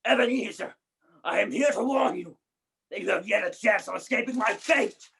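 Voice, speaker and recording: A man acting a tormented, ominous ghost of a dead business partner in a radio play, proclaiming dramatically with a hoarse, strained wail. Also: high voice, normal speed, fairly bright tone, full character voice. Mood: solemn warning.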